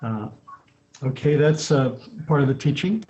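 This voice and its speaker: A man's voice: medium pitch, unhurried. A man in his sixties who grew up in France, a missionary answering interview questions steadily with many restarts. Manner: steady, with frequent restarts and fillers. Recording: dull lo-fi sound.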